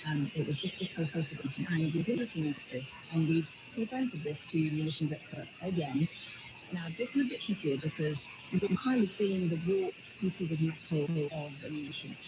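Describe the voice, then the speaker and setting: A man with a slightly high voice gives explanatory news analysis, repeating himself as he works through points. The sound is dull shortwave radio, swamped in heavy noise. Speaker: journalist explaining evidence.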